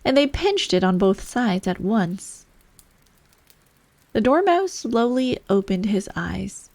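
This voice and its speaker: A woman narrating a bedtime story in a slow ASMR storytelling style. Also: mid-range voice, big pitch swings, a little mellow, no room sound. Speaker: audiobook narrator.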